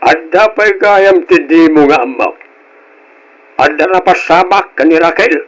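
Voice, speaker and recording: A man playing a stern old grandfather in a radio drama, speaking in a grave, weighty, measured way. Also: fairly high pitch, lightly acted, rough recording. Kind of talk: Ilocano radio drama acting.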